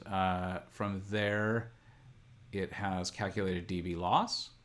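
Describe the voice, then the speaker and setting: A middle-aged man giving an unscripted tutorial, thinking aloud in a halting, methodical way as he talks through each step. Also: lowish voice, a bit bright. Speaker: instructor. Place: indoor room at a desk, computer screen recording.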